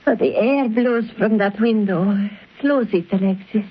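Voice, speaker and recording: A woman playing a cool, threatening exotic dancer villainess with formal, deliberate phrasing, in 1930s radio drama style. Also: mid-range voice, breathy edge. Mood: confident, scheming. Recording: muffled 1930s radio recording.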